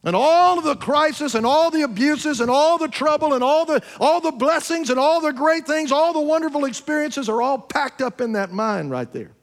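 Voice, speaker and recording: Pastor, man, 50s, high voice, emphatic preacher who questions the congregation and drops to low asides. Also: expressive, moderate pace, hardly any pauses. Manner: emphatic preaching, swinging between loud peaks and low asides; addresses congregation directly with questions. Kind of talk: sermon. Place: church sanctuary.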